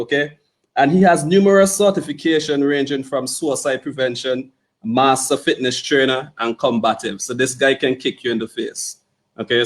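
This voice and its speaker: Relaxed, chatty male podcast host. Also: medium pitch. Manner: relaxed and playful, with frequent "you know" and "okay". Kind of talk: casual interview chat.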